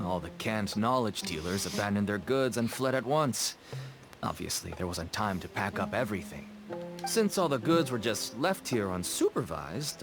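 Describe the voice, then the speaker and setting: An actor playing a nervous, ingratiating merchant father with a shady trade in a video game. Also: mid-range voice. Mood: apologetic. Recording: game music behind the voice.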